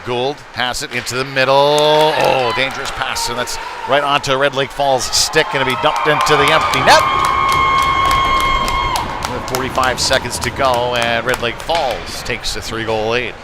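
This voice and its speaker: A man doing live play-by-play hockey commentary in a halting delivery with frequent fillers. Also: mid-range voice, fairly even intonation, music in the background, a crowd in the background. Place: indoor ice rink. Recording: noisy live rink stream.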